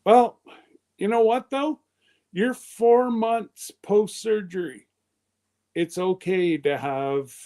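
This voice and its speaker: A man's voice: high voice, slow pace, dark tone. A man in his fifties hosting a live stream, chatting casually in a loose, rambling way. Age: 50s.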